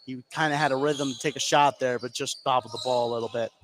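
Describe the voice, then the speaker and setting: A man's voice: mid-range voice, fairly even intonation, fast, fairly bright tone. A man with an American accent giving conversational live analysis as a basketball color commentator. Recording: clear gym noise behind. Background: basketball game in a gym.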